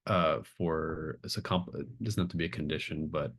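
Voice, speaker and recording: A man with a low voice acts as game master. He improvises tabletop narration in a halting, thinking-aloud way. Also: fairly even intonation, fairly bright tone. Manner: hesitant, searching for words as he improvises.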